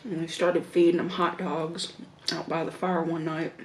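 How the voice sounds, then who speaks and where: A woman in her thirties with a Southern American accent, slowly telling stories while eating at her dining table at home. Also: low-pitched, level tone, reverberant, slight background noise, rough recording. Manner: slow, reflective, with hums and soft laughs between bites. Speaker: mukbang vlogger. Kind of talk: casual storytelling while eating. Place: home dining room, indoors.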